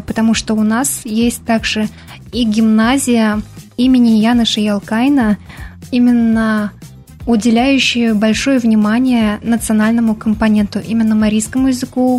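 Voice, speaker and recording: A woman's voice: a little high, darkish tone. A young woman from Bashkortostan, a Mari language activist, answering a radio interviewer in an earnest, explanatory way with some hesitant fillers. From Bashkortostan, Russia. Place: radio studio.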